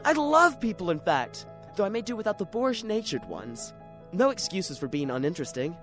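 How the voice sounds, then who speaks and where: A man's voice: sits high, very animated, brisk, music in the background. A man playing a lonely, neurotic, paranoid writer in an introspective, theatrical radio drama monologue. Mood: whimsical, brooding.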